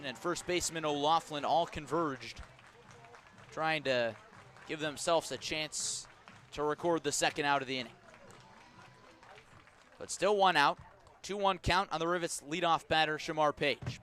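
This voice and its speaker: A man calling a baseball game live on radio as play-by-play announcer, measured between pitches and emphatic when the action comes. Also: sharp tone. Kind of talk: live sports radio commentary.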